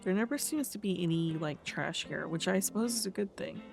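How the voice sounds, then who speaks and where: Hoarse, gravelly woman losing her voice. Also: fairly low pitch, brisk, soft background music. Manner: quiet, subdued, talking to herself while playing. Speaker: gaming video host. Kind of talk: casual let's-play commentary.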